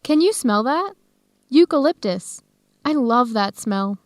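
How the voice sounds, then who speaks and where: An actress playing a warm-hearted young woman in an educational radio drama, expressive and conversational. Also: on the high side, measured pace, no echo, soft background music. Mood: curious. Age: young adult. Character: Helen, warm-hearted young woman, defensive about her boyfriend.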